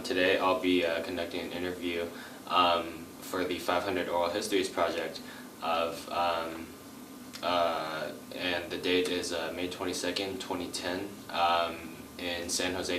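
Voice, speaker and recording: A man working as an interviewer, asking simple questions in a halting, hesitant way full of ums and uhs. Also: sits low. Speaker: oral history interviewer. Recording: echoey room with faint noise.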